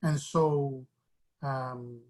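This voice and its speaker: A man's voice: medium pitch, fairly even intonation, extremely slow, a little mellow. A man from Miami, a guest artist, telling his story in a reflective, rambling way with many restarts. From Miami, United States. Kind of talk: casual interview storytelling.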